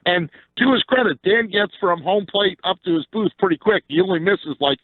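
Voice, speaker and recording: A man's voice: sits high, moderate pace, dry recording, phone-quality sound. An American man chatting casually and bluntly about sports as an opinionated radio guest. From Philadelphia area, USA.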